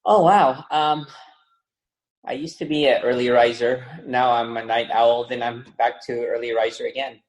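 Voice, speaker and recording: A man in his sixties from the Philippines, a guest on a podcast, relaxed and joking in his answers. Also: medium pitch, moderate pace, roomy sound, low-quality recording. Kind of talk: casual interview.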